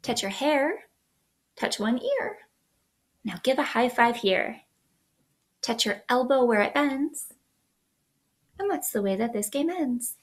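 A woman in her thirties hosting a children's story time, reading aloud in an expressive, sing-song way at home. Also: on the high side, slow pace, pauses often. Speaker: children's librarian, storytime host. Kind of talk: picture book read-aloud for young children. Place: small living room indoors.

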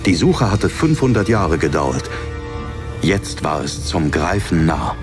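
A man's voice: deep voice, very animated, takes it slowly, music in the background. A man reading a dramatic fantasy audiobook as narrator.

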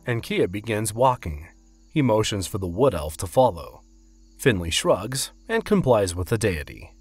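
A man's voice: on the low side, big pitch swings, measured pace, a little mellow, husky, no echo. A man reading scripted narration for a fantasy audio drama.

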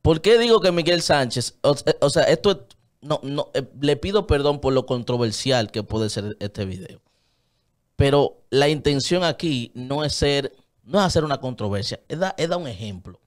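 A man's voice: mid-range voice, expressive, normal speed. A man in his thirties with a Dominican Spanish accent, talking earnestly as a guest in a small padded radio studio into a desk microphone. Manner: earnest, gestures a lot while talking. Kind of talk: casual studio chat. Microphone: studio mic on a desk stand, headphones on.

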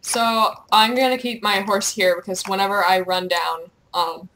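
A woman's voice: mid-range voice, steady tone, moderate pace, pushed and bright, rough recording. A giggly, playful young woman chatting casually with a friend as she plays a video game. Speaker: gamer, video host. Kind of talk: casual gaming chat with a friend. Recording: clear background noise with clicks.

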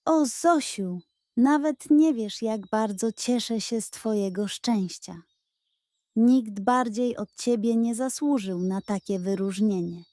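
Lady doing a sly lazy pug dog, greedy, drawly, storybook style, bit high for a boy. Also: takes it slowly, warm tone, no echo, studio quality. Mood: sly, sweet. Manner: mumbling, drawling, falsely sweet when scheming.

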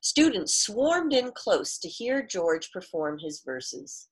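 A middle-aged woman reading a storybook aloud expressively like a teacher, deliberately stressing key words and pausing to explain. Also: mid-range voice, sing-song, breathy edge, low-quality recording. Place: small living room at home, indoors.

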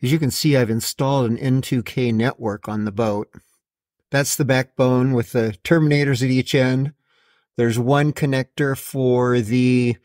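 A middle-aged man narrating a hands-on how-to in a deliberate, matter-of-fact way. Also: mid-range voice, a little mellow. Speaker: host of a DIY boat install video.

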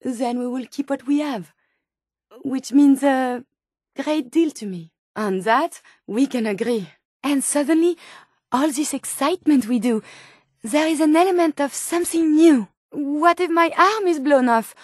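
A woman's voice: high-pitched, a little slow, a little mellow, no echo, clean studio sound. An actress playing an earnest, curious female medical robot turned human in a video game, with a French accent and precise, formal diction. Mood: curious, delighted, then stern, reproachful. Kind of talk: video game character dialogue.

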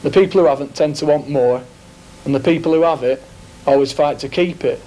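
A man's voice: fairly high pitch, fairly quick, dark tone, low-quality recording. Earnest young Lancashire man. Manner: earnest, opinionated. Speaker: interviewee. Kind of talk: casual opinion chat. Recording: 1980s TV film with faint noise.

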